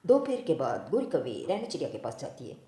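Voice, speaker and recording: A woman's voice: sits low, big pitch swings, fast talker, dark tone, roomy sound. A woman reading scripted narration for a children's-style cartoon story.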